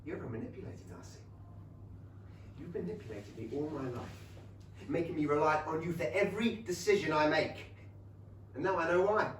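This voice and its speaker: A man acting a devout, conflicted young gentleman in a staged play reading, earnest and emotional. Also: fairly high pitch, a little fast, long pauses, lightly acted. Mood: angry. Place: small theatre, recorded from a distance. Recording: roomy, distant theatre recording, faint noise.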